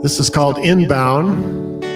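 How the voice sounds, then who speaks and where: High-voiced man talking over loud guitar. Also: slow pace, recorded from a distance. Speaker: guest musician. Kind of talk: spoken introduction of his own song.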